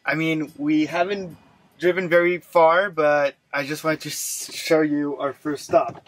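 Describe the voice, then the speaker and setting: A man's voice: a little high, unhurried. A man in his thirties from France with a French accent, casually narrating a road-trip vlog with lots of fillers. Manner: relaxed, rambling with many fillers. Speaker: travel vlogger. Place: camper van and outdoors at a picnic table. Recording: music bed under voice. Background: music.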